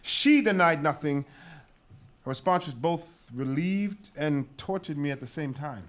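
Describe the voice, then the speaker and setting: A high-voiced guard in a Greek tragedy reading. He reports to his king urgently and emphatically, as a nervous underling. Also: lively intonation, measured pace, a bit bright. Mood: urgent recounting. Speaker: actor.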